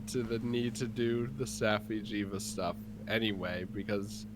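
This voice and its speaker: A man's voice: fairly low pitch, steady tone, measured pace. A man with a stuffy, congested nose, rambling through gaming plans in casual voice chat. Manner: rambling, explains game plans with fillers. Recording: noisy voice chat audio.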